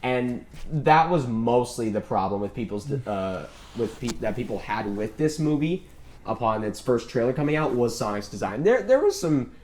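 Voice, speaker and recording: A man co-hosting a casual film discussion, talking in a halting, emphatic and opinionated way. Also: mid-range voice, sing-song, darkish tone, slight background noise. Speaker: podcast co-host.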